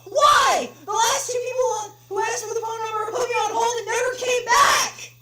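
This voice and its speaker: A woman's voice: fairly even intonation, normal speed, recorded from a distance. Woman doing a shrieking, ranting angry caller. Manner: shrill shouting rant. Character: furious male customer on a support call. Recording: echoey room with noisy hum.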